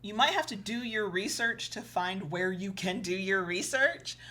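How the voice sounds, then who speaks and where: A woman's voice: mid-range voice, unhurried, bright, forceful tone. A woman co-hosting an educational show, giving conversational advice in an explanatory way with emphasis on key points. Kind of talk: conversational advice talk with a co-host.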